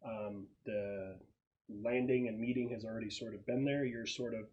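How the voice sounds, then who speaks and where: A man running a casual tabletop role-playing game as game master, explaining the setup with halting pauses. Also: lowish voice, fairly even intonation, highs cut off.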